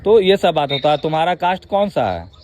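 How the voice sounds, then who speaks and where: A man's voice: a little high, sing-song, a little fast, noisy background. Pressing Bihari man on a phone call. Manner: pressing, interrupting, colloquial. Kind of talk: phone conversation, informal questioning.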